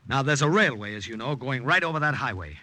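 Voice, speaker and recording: A man's voice: medium pitch, expressive, fast, sharp tone. A man playing an earnest, brusque wartime saboteur in a 1950s radio drama, both acting and narrating. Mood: exasperated, firm. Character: tense, brusque male secret agent saboteur. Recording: old radio recording, music behind.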